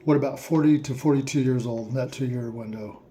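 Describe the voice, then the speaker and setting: A man slowly asking listeners a series of reflective questions, with playful asides in a surprised voice. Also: mid-range voice, dark tone, a little hiss or hum. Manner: slow, repetitive questions with mock-surprised asides.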